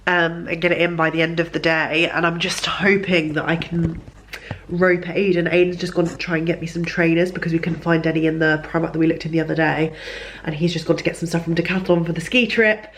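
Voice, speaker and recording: A woman's voice: deep voice, flat delivery, fairly quick, slight background noise, low-quality recording. Chatty young woman with a stuffy nasal voice. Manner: chatty, gushing, lots of fillers. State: congested, ill, nasal voice. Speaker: vlogger. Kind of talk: casual vlog chat.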